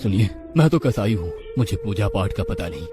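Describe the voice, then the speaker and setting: A man's voice: lowish voice, expressive, brisk, lightly acted. A man voicing a simple, devout village butcher in a cartoon, earnest and apologetic. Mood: humble. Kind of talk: cartoon voice acting. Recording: background music under voice.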